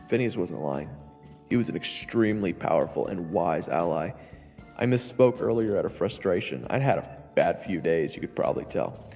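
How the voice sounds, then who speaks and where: A man's voice: sits low, mellow, big-room sound. A man playing a weary adventurer who narrates his own journey in a scripted first-person fantasy story. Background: background music.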